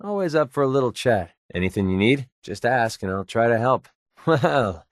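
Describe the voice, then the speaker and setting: A man's voice: medium pitch, expressive, normal speed, dry recording, lightly acted. A man voices a wisecracking young mercenary from a post-apocalyptic video game. He has a mid-range American voice and talks in a casual, guarded way with a sarcastic edge. The sound is dry and studio-close, with no room on it. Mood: friendly, relaxed. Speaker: video game companion character. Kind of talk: scripted video game companion dialogue.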